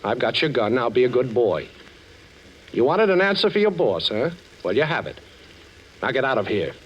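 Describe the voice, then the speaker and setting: An actor playing a suave, sarcastic ex-thief turned detective in a 1940s radio drama, smooth-talking and unflappable. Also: male voice, medium pitch, very animated, moderate pace. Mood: firm, mocking. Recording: muffled, hissy old 1940s radio recording.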